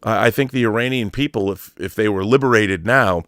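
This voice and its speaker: A man giving a casual, opinionated monologue as a YouTube host, speaking bluntly and haltingly, often repeating words for emphasis. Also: on the low side, fairly bright tone, no room sound, studio quality. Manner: blunt, sweary.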